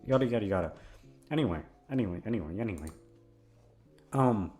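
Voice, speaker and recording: A man chats casually to his audio listeners as a performer signing off. He is awkward and goofy, rambles and swears, and his pitch swings wildly into silly high tones. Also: lively intonation, fairly quick, a little mellow, soft background music.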